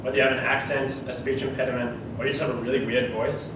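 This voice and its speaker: Australian teen giving an echoey class speech. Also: male voice, mid-range voice, flat delivery, quick pace, background noise. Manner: humorous, conversational, laughs mid-sentence. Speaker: student speaker. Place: school classroom. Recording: echoey room, far from the mic.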